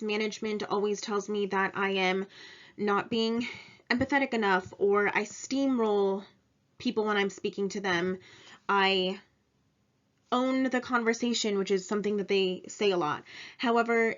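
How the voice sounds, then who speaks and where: A young woman giving an informal presentation about herself in a matter-of-fact, self-assured way. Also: medium pitch. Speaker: presenter of personal test results, insurance claims adjuster. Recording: dull lo-fi recording.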